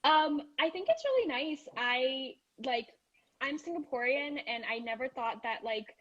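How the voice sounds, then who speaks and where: A woman's voice: high-pitched, unhurried, muffled recording. A woman who grew up in Malaysia and Thailand, guesting on a video call and talking casually with many "like"s. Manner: relaxed, uses "like" a lot. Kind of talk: casual interview chat.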